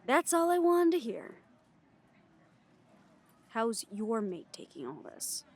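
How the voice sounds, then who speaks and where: A woman playing a frank, higher-voiced werewolf lieutenant. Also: big pitch swings, slow pace, heavily acted. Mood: probing. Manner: frank, questioning, dryly sardonic. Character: frank, cautious female werewolf second-in-command, dryly sardonic. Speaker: voice actor in audio roleplay. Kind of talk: scripted audio roleplay drama.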